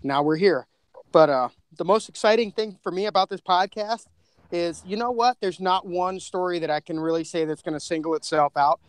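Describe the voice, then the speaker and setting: Male podcast co-creator, high voice, dry sound, rambling casual storyteller. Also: normal speed, clean tone, no room sound.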